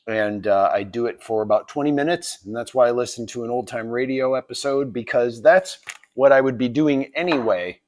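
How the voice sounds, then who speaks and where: A man's voice: medium pitch, normal speed, a little mellow. A man chatting casually and off the cuff as he hosts a live stream, with wry muttered asides. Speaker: artist live-streamer, host.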